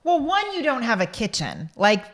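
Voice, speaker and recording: A woman co-hosting a podcast, chatting casually and supportively, quick to laugh. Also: fairly high pitch, wildly swooping pitch. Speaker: podcast co-host. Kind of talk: casual chat.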